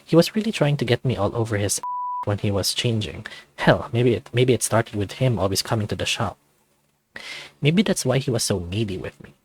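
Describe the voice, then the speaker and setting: Low-voiced, playful wolf guy read by a man. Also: lively intonation, normal speed, a little hiss or hum, lightly acted, poor audio. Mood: musing. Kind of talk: first-person visual novel narration and dialogue.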